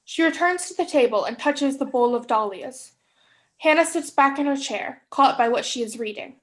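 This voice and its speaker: A woman's voice: high voice, animated, moderate pace. A young woman reading stage directions as scripted narration in a staged play reading. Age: young adult.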